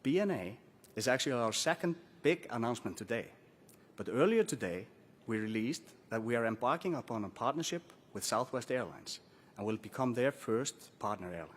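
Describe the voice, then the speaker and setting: A man from Iceland presents as a company executive at a formal announcement. His delivery is that of a prepared business speech to an audience. His voice sits at a medium pitch for a man. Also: lively intonation, brisk. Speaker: airline chief commercial officer.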